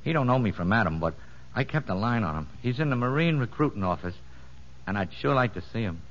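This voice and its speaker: An actor playing a cocky, streetwise convict safecracker in a 1950s radio drama, talking in slangy, ungrammatical boasts. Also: male voice, mid-range voice, expressive, quick pace, natural voice. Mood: wistful, pleading. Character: cocky wisecracking convict safecracker with a soft spot. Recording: noisy, muffled old radio broadcast.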